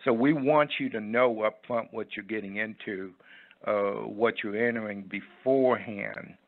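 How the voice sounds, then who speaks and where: A man giving unscripted interview answers in a halting, rambling, folksy way over a phone line. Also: lowish voice. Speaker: interview guest, website owner. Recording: muffled, tinny phone line.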